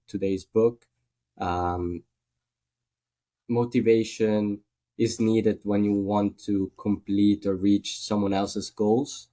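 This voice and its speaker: A man's voice: on the low side, dark tone. A man from Italy with an Italian accent, a guest in a casual podcast interview, speaking thoughtfully with many fillers.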